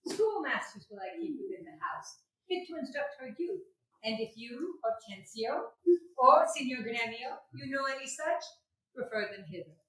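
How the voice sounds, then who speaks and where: Woman as resolute harried parent, stage declamation, high bright voice, very echoey distant room. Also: expressive, moderate pace. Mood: firm, fond. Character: firm, harried wealthy parent of two daughters. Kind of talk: theatrical staged reading of Shakespeare. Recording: very echoey room, recorded from far off.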